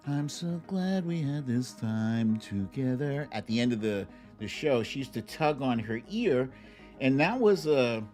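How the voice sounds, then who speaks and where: Slow-paced man hosting a casual livestream. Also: medium pitch, a little mellow. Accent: American. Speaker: host of a live online talk show. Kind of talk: casual livestream chat and commentary.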